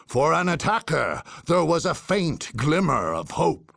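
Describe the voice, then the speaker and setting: An actor playing a grave, portentous narrator of a dark war chronicle in a game cutscene, with a gravelly, husky voice and measured delivery. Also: male voice, a little high, sing-song, no room sound, clean studio sound, heavily acted.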